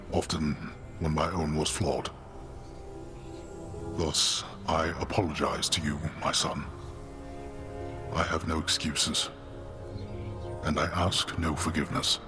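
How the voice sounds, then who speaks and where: Casting: proud, tired lord of the underworld, very low voice, stern and solemn, for a video game. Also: male voice. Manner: grave, formal, halting. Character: Hades, stern, weary, proud god of the underworld and father. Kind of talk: scripted video game voice acting. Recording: music behind the voice. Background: game music.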